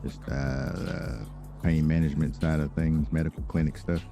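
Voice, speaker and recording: Very deep-voiced businesslike crime-boss lawyer played by a man. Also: fairly even intonation, creaky, mellow, soft background music. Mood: businesslike, obliging. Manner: halting, unhurried, filler-heavy, polite. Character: smooth-dealing male lawyer and crime boss, businesslike, obliging. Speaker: streamer role-playing in a game. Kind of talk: improvised in-character business talk.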